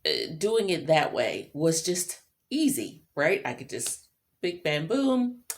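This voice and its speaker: A woman's voice: deep voice, sing-song, slow pace. A middle-aged woman vlogger talking in a casual, chatty monologue with informal, rambling asides. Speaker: vlogger and self-employed writer.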